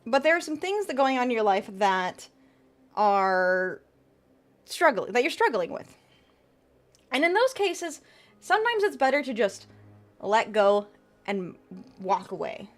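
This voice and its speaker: High, lively woman streamer chatting. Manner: chatty, answers chat aloud, laughs often, trails off and restarts. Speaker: VTuber streamer. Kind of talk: casual live-stream chat with tarot readings.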